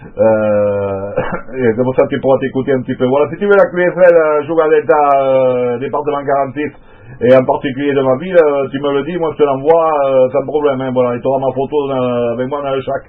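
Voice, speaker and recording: A man's voice: medium pitch, fairly even intonation, fairly quick. A man chatting casually as an amateur radio operator, rambling along with repeated tag words, his voice thin and narrow-band. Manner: rambling, repeats filler tags. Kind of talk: casual radio contact chat.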